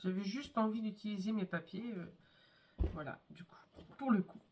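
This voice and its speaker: A woman with a cold showing her scrapbook albums in a casual, chatty way, with many fillers and pauses. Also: mid-range voice, darkish tone, slight background noise. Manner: chatty, hesitant, many fillers and "hop, voilà". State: has a cold, congested. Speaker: scrapbooking vlogger. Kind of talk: casual show-and-tell craft video.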